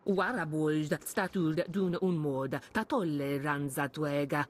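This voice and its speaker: A callous alien researcher in a video game. The voice is very low for a female, and the delivery is detached and unhurried. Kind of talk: scripted video game dialogue.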